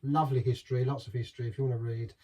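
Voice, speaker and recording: A man's voice: mid-range voice, flat delivery, racing, warm tone. A middle-aged man chatting casually through a beer review, rambling and restarting as he talks. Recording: voice from some distance from the mic.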